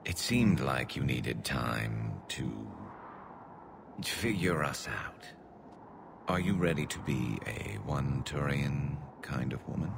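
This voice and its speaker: A man voicing a wry, cocky yet tender alien soldier in a video game, with a gravelly, faintly metallic resonance and a dry, teasing delivery. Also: rumbling low, lively intonation, slow, background noise, full character voice. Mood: tentative, tender. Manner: dry, teasing, laid-back.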